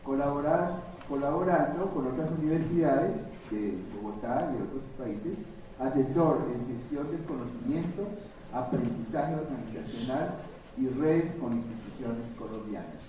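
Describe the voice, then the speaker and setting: A man's voice: medium pitch, normal speed, warm tone. A man reading a formal introduction of a conference speaker, listing his credentials. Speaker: event host introducing speaker. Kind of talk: formal introduction read aloud. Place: conference hall. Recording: echoey hall from far off with background noise.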